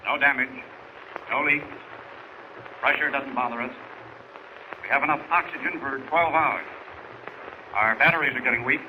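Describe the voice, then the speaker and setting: An actor playing a strained submarine officer in a 1940s radio serial, reporting tersely. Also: male voice, mid-range voice, normal speed, pauses often, natural voice. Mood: tense. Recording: faintly noisy vintage radio recording.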